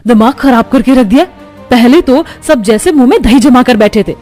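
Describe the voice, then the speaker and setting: A woman's voice: on the high side, normal speed, mellow, soft background music, lightly acted. A woman voicing an exasperated, dutiful young daughter-in-law in a cartoon story. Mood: grumbling. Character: harried, grumbling young daughter-in-law cook. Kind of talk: acted moral-story cartoon.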